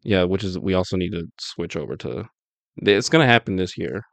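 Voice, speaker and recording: A young man hosts a podcast in a relaxed, easygoing way, laughing often and restarting his phrases. His voice sits fairly low. The sound is dry and close on a podcast mic. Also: fairly quick, gravelly, no echo. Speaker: podcast host. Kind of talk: casual podcast chat.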